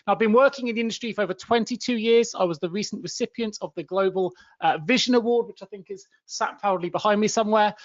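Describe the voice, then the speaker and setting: A fluent, upbeat man in his forties hosts a professional webinar with a high voice. He sounds dry and close, with no room echo. The audio is muffled and lo-fi, like a video call. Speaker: webinar and podcast host. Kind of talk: webinar hosting.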